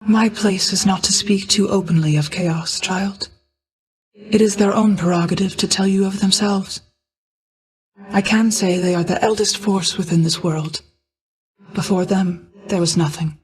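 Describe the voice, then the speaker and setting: A woman voicing a regal, ageless, motherly goddess of night in a video game, speaking in formal, measured, archaic phrasing. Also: medium pitch, fairly even intonation, breathy edge, low-quality recording. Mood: solemn, guarded. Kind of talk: scripted video game voice acting.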